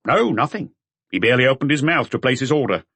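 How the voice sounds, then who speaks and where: Man voicing a lively junior police detective. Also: mid-range voice, fast talker, husky, no room sound, voice pushed up, heavily acted. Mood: dutiful reporting. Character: eager junior male police detective reporting in.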